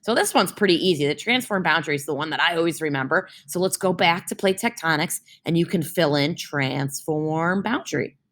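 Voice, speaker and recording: A woman's voice: low voice, lively intonation, brisk, few pauses, a bit bright. Woman teacher who keeps asking "right?". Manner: explains with homely comparisons and keeps checking in with "right?". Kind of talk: reading a science text aloud for a class.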